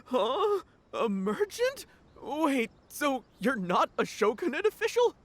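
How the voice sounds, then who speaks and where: A woman voicing an excitable, sentimental young gang underling in a video game, earnest and emotional. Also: high-pitched, takes it slowly, full character voice. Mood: puzzled.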